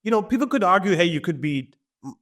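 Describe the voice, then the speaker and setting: A man's voice: high-pitched, animated, quick pace, a bit bright. A man, a podcast guest talking in an easygoing way with frequent chuckles and fillers. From Germany. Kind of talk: casual interview talk.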